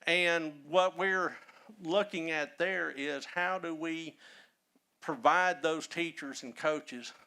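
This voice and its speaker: A man from north Texas with a Texan accent, a historian speaking as a guest and telling folksy stories with many pauses. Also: fairly high pitch, sharp tone, smooth. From Texas, Dallas-Fort Worth area. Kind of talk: casual storytelling talk.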